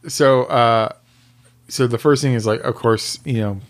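A man's voice: medium pitch, a little slow. A man co-hosting a podcast in casual chat, hesitant and full of fillers. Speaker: podcast co-host.

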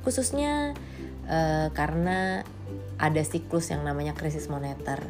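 A woman's voice: deep voice, big pitch swings, unhurried, over music. A woman in her late twenties from Indonesia giving a casual, candid monologue, blunt and slangy, with English phrases mixed in. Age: late 20s.

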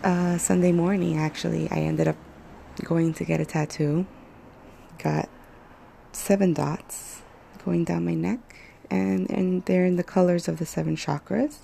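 A woman hosts her own podcast, recording straight into a phone. Her voice is hoarse and deeply gravelly, pitched a little low with a dark, mellow tone. She rambles casually, pausing often and filling the gaps with ums and uhs. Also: fairly even intonation, a little hiss or hum. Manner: rambling, hesitant, many fillers. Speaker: podcast host. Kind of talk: casual solo podcast chat.

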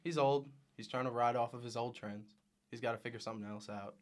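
A man's voice: mid-range voice, fairly even intonation, quick pace. A young man giving emphatic, opinionated takes as a guest on a casual sports podcast.

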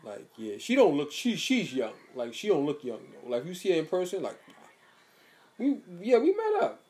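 A man in his thirties in casual chat as a podcast co-host, talking in loose slangy bursts. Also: moderate pace. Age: 30s. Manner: laid-back slangy talk, lively pitch swings.

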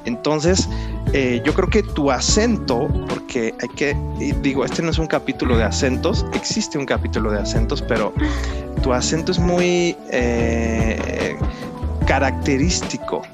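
A man's voice: medium pitch, animated, a little slow. A man with a Mexican accent hosting a podcast in a chatty, rambling way, drawing out words for emphasis. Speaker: podcast host. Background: background music.

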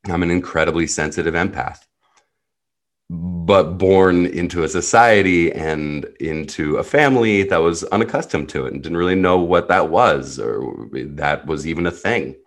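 A man, a native Hebrew speaker who grew up in the US, telling his life story as a podcast guest in an earnest, reflective and blunt way. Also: sits low. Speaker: podcast guest, personal guide. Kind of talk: candid personal storytelling.

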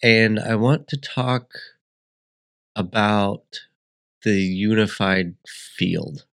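Low-voiced, congested man rambling solo. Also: very slow, a bit bright. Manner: rambling, reflective, frequent restarts. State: congested, sniffly. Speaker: podcast host. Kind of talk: solo podcast monologue.

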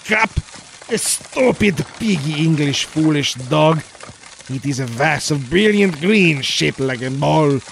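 A man's voice: fairly high pitch, expressive, creaky, fairly bright tone, slight background noise, full character voice. A man playing a haughty, insult-slinging nineteenth-century Frenchman with a put-on French accent in a comedic 1940s-style radio play. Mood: sneering. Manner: scornful, drawn-out sneering insults.

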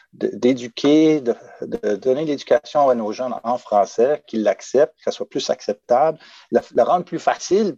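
Panel guest: man, husky and gravelly, halting delivery, slightly dull dry recording. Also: medium pitch, warm tone, dry recording. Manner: hesitant, many restarts and fillers. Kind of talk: discussion panel.

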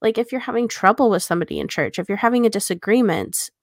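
A woman's voice: mid-range voice, expressive, quick pace, dry recording, studio quality. A woman co-hosting a podcast, talking casually and earnestly as she explains her view and poses questions. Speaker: podcast co-host.

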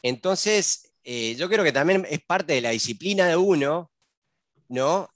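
A man's voice: on the high side, animated, measured pace, a bit bright, no room sound, studio quality. A man from Uruguay with a Uruguayan accent, a business consultant chatting casually as a guest and peppering his talk with fillers and tag questions. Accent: Uruguayan Spanish. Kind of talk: casual conversational interview.

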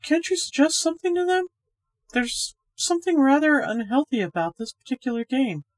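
A woman's voice: sits high, expressive, normal speed, no echo, pitched above the performer's own voice. A woman voicing a friendly, chatty young woman who is uneasy but bold, in a ghost story. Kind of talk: ghost story character acting.

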